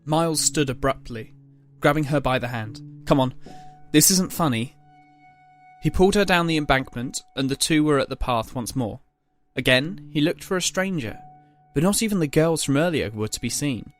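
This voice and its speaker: A man's voice: on the high side, animated, brisk, soft background music. A man reading scripted, dramatic story narration as the narrator.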